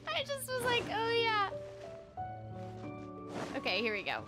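A woman's voice: very high voice, wildly swooping pitch, takes it slowly. A young adult woman chatting casually and playfully while streaming a video game. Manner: playful, giggly, exclamatory. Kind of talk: casual livestream chat while gaming. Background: game music.

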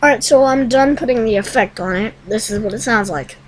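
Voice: mid-range voice, fairly quick, a little hiss or hum, rough recording. A young teenager hosting a casual screen-recorded tutorial in a rambling, hesitant way. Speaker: tutorial host. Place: room at home.

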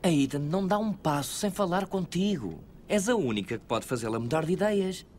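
A woman's voice: lowish voice, expressive, a little fast, a little mellow, slight background noise, lightly acted. A woman with a European Portuguese accent voicing a worried, imploring theatre company member in a cartoon dub.

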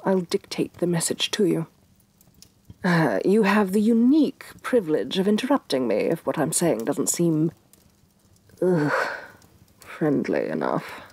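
A domineering evil queen voiced by a woman for a fantasy ASMR roleplay. She speaks softly and very slowly, with breath in every phrase. Her delivery swoops expressively, imperious and scornful, with menace under the softness. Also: low-pitched, sing-song, exaggerated voice. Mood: smugly commanding. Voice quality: soft, breathy. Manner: imperious, deliberate, disdainful, sighing with exasperation. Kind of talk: soft-spoken ASMR roleplay.

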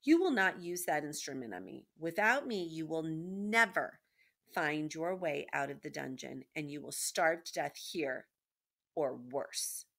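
A woman's voice: low voice, expressive, a little slow, creaky, fairly bright tone, full character voice. A woman voicing a haughty, cruel male rat villain from a storybook, with formal, sneering speech. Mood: cold, threatening. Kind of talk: storybook read-aloud character voice.

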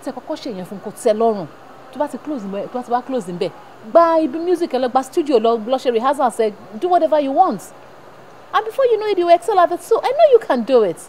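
Actress playing a high, lively mother. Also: fast, slight background noise, poor audio. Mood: coaxing. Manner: code-switching, scolding and coaxing. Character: worried middle-aged mother mediating at home. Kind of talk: emotional family drama acting.

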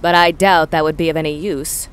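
A woman's voice: sits low, fairly even intonation, brisk, a little hiss or hum, lightly acted. A woman voicing a dismissive, matter-of-fact male military officer in a space audio drama. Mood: offhand.

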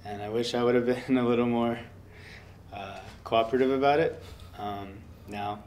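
A man in his thirties talking about his life in a relaxed interview, soft-spoken and rambling with lots of fillers. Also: mid-range voice, normal speed, a little hiss or hum. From United States. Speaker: interviewee, guitarist. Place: indoor workshop studio.

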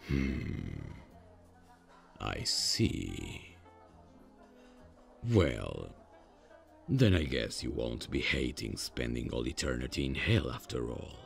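Man voicing a very deep, slow, gravelly demon. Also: faint music behind, exaggerated voice. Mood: dry, curious. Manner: slow, stilted, halting, deadpan. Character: awkward, menacing male demon on a blind date. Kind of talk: ASMR audio roleplay, comedic fantasy.

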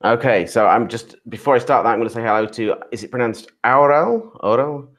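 A man's voice: mid-range voice, a little fast, fairly bright tone. A man in his thirties wearing headphones, telling a rambling, friendly story on a video call from home. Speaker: co-host. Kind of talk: casual chat on a video call. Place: room at home.

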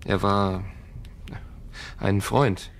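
Gravelly, wary tech founder. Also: male voice, lowish voice, steady tone, drawn-out words. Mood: resigned. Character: wary, world-weary male tech company founder. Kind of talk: German audio drama dialogue.

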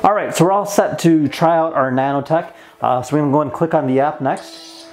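A man in his twenties walks viewers through a gadget in a casual, easygoing way. He sounds mildly enthusiastic, with clear music playing behind his voice in an indoor room. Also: fairly high pitch, fairly quick, darkish tone. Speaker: host of a toy review channel. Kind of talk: casual hands-on product demo. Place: plain indoor room at a table.

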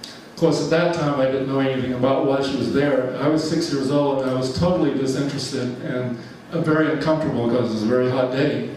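A man's voice: mid-range voice, fairly even intonation, fast talker, hardly any pauses, a little mellow, slight background noise. A man in his seventies from Georgia giving an informal talk with slides, rambling with lots of fillers and frequent chuckles. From Georgia, USA. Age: 70s. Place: large church hall. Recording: echoey hall, mic far off.

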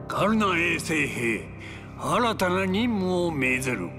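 An actor playing a stern, dutiful older military commander in a game, grave and authoritative. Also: male voice, a little high, very animated, slow pace, exaggerated voice. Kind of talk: video game drama acting. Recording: music behind the voice.

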